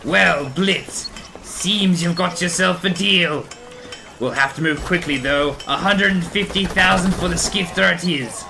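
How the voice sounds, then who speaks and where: A man's voice: high-pitched, unhurried, vocal fry, background noise. A man playing a wary, haggling scrapyard trader in an audio drama, drawing out his words with exclamatory delivery. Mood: won over. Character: gruff-dealing male rebel scrapyard trader, wary haggler.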